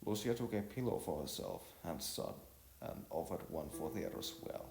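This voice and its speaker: A man's voice: rumbling low, huge pitch swings, normal speed, gravelly. A man narrating a story as an amateur reader, stumbling over phrases now and then and rereading them. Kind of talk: amateur narration of an audiovisual novel. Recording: roomy echo, faint music behind.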